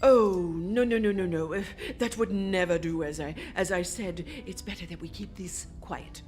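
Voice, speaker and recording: A woman's voice: fairly low pitch, moderate pace, a little mellow, soft background music, close to an everyday voice. A woman playing a flustered, secretive department store executive in an old-time radio drama, hesitant and repeating words.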